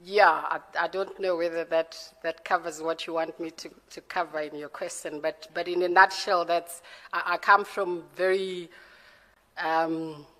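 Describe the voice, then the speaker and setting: A woman in her forties from Zimbabwe, answering formal interview questions in a measured, careful way and often correcting herself. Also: lowish voice, steady tone, pushed and bright. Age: 40s. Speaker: judicial candidate being interviewed. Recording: reverberant hearing room.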